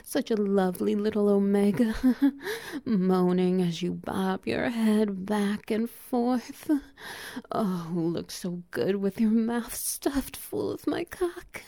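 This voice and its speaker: A woman with a low, gravelly edge and a mellow, warm tone, talking slowly in a teasing, commanding manner. She often sinks into a soft whisper and lets out breathy little chuckles, close and dry on the mic. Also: animated, dry recording. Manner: breathy little laughs between lines. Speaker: erotic audio roleplay performer. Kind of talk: intimate audio roleplay.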